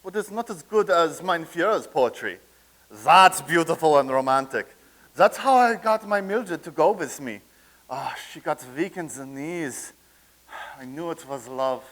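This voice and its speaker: A man's voice: a little high, expressive, unhurried, a bit bright. An actor playing a blunt, mocking young man in a staged play reading.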